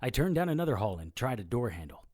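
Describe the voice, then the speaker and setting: Guy acting as a sarcastic noir detective, wisecracks a lot, very animated pitch, audio drama style. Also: fast talker. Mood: wry, tense. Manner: wry, sarcastic. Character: wisecracking, sardonic immortal male detective. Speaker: lead character and narrator. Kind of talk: first-person noir audio drama narration and dialogue.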